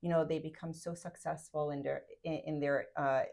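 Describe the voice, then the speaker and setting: A woman hosts a casual interview in a low, gravelly voice with plenty of vocal fry. She reacts with quick, enthusiastic affirmations. She keeps the chat relaxed and friendly. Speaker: podcast host.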